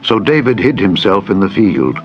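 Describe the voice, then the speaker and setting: A man's voice: fairly low pitch, sing-song, normal speed, vocal fry. A man narrating scripture as a scripted, dramatized Bible reading. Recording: dull lo-fi audio with music. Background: background music.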